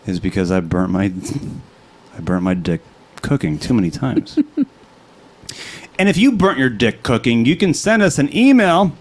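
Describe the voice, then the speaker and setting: A man co-hosting a comedy podcast, crude and sarcastic, swinging from tired mumbling to loud rants. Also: mid-range voice, sing-song, measured pace. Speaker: podcast co-host. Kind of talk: casual comedic chat.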